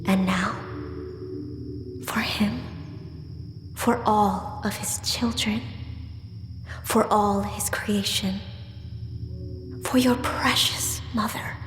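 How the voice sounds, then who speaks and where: A woman playing a tender, reverent otherworldly comforter in a biblical audio drama, in a breathy whisper. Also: medium pitch, fairly even intonation, drawn-out words, long pauses, a bit bright. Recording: long cavernous reverb, music behind. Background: music.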